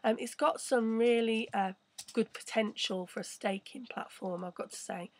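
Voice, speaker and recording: A woman hosting a casual live stream, chatting and rambling with a croaky voice. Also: on the high side, normal speed. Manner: chatty, rambling, reads viewer messages. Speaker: crypto channel host.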